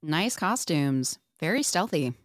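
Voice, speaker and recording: A woman's voice: sits low, very animated, measured pace, no echo. A woman reading a wry, dejected but brave young heroine in a podcast script table read, with a dry, sarcastic manner.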